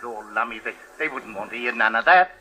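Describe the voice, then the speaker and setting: Bright, bashful man in the street. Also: medium pitch. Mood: flustered. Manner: halting, bashful. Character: reluctant working-class London man in the street. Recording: thin old radio recording, faint noise.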